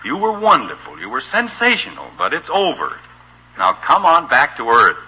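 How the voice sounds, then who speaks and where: An actor playing an exasperated middle-aged American father in a 1950s radio sitcom, gruff, impatient and dryly sardonic, on an old broadcast recording. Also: mid-range voice, huge pitch swings, moderate pace, pushed and bright, exaggerated voice, poor audio. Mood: exasperated, scolding. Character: exasperated, no-nonsense family father at the dinner table.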